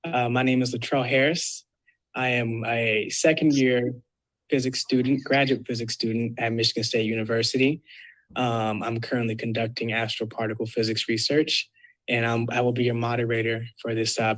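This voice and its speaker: A young man moderating an online panel discussion, introducing each speaker with frequent pauses and filler sounds. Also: medium pitch, poor audio. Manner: halting, many filler uhs.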